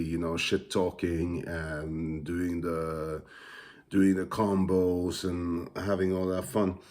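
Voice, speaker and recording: A man with a Norwegian accent, a musician, teacher and father, thinking aloud in a casual, hesitant monologue full of pauses and fillers. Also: low-pitched, a little mellow. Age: adult father.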